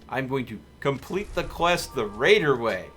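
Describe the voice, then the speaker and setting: A man's voice: medium pitch, sing-song, measured pace, fairly bright tone. A man streaming a video game, giving casual commentary and rambling as he thinks aloud. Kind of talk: casual live gameplay commentary. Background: game music.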